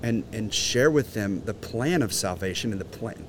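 A man's voice: on the low side, lively intonation, moderate pace, slight background noise. A man in his forties, a guest in a casual interview, talking earnestly with many restarts. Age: 40s. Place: outdoor conference grounds.

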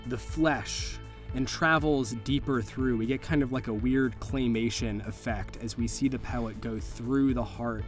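Slow-paced man narrating a tabletop game. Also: medium pitch. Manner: vivid, descriptive storytelling. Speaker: game master and player.

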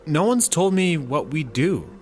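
A man's voice: on the high side, sing-song, unhurried. A man playing a dutiful, hesitant new employee who worries about the rules, in a comedy audio drama. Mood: doubtful. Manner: hesitant, self-correcting. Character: anxious, dutiful male new employee, hesitant rule-follower. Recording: faint background ambience noise.

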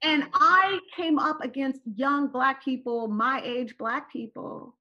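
A woman's voice: high voice, slow pace, muffled recording. A woman whose first language is Turkish, telling personal stories as a guest and often adding "right?" to her sentences. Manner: animated, tags phrases with "right?". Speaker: podcast guest. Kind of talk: personal storytelling in conversation.

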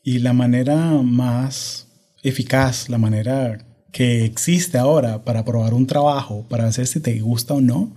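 A Venezuelan man in his thirties hosts a relaxed podcast conversation. His voice is dark and mellow, but his delivery is energetic and hyperkinetic, with English terms slipping into his Spanish. It is recorded close on a studio microphone. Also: medium pitch, unhurried. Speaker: podcast host.

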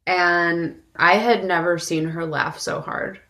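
A woman's voice: lowish voice, fairly even intonation, slow pace, bright, forceful tone. A woman co-hosting a podcast, talking about film in a casual, informal, conversational style. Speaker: podcast co-host.

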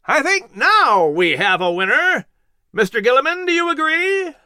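A man voicing a jovial, showy fiddle contest announcer in a storybook, calling out loudly to a crowd. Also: extremely high pitch, sing-song, normal speed, no room sound, clean studio sound, pitched above the performer's own voice, full character voice. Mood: shouting, excited.

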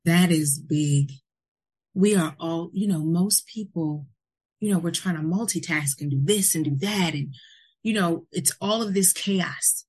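A woman's voice: lowish voice, unhurried, darkish tone, husky. Effusive woman podcast host. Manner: effusive, gushing with praise and thanks. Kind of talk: casual interview talk.

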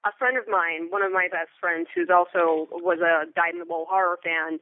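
Heard over a narrow, telephone-like connection with no room sound, an American woman in her thirties gives interview answers. She is conversational and thoughtful, choosing articulate phrases and leaning deliberately on certain words. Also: on the low side, fairly even intonation, dry recording. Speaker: interview guest, theater company member and Foley artist. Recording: thin, phone-like remote line.

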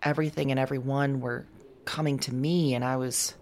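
A woman performing an audio drama monologue as an anxious, confessional figure, halting and hushed, sometimes slipping into whispers. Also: very deep voice, steady tone. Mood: wistful, uneasy. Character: anxious confessional woman recording her visions of a future woman.

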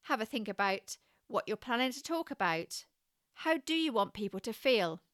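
A woman with a West Country English accent, giving scripted coaching advice in a measured, encouraging way. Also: a little high, sing-song, a bit bright, no room sound, studio quality. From England. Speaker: communication coach.

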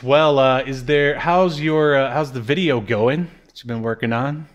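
A man's voice: mid-range voice, normal speed. A man hosting a podcast in a casual, wry, joking way, reviewing games conversationally. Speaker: podcast host. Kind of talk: casual chat, game review.

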